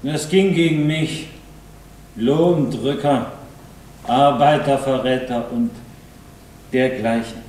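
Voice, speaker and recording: A man's voice: medium pitch, slow, long pauses, darkish tone, slight background noise, natural voice. A man playing an embattled, defensive worker justifying himself in a stage drama. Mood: subdued, bitter. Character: defensive, embattled male worker despised by his colleagues. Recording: distant, very echoey stage recording.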